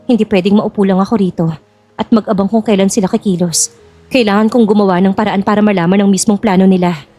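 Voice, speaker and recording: A woman's voice: mid-range voice, steady tone, quick pace, dark tone, soft background music, lightly acted. A woman playing an earnest, guarded young bride-to-be in a Filipino audio drama, speaking conversationally. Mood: determined. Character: Jasmine, worried young bride-to-be of a rich old man.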